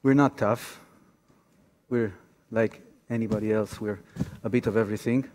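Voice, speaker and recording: Halting Israeli man in a reverberant hall. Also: fairly low pitch, steady tone, darkish tone. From Tel Aviv, Israel. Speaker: activist guest lecturer. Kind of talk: informal public lecture with personal storytelling. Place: on stage in a large theater auditorium.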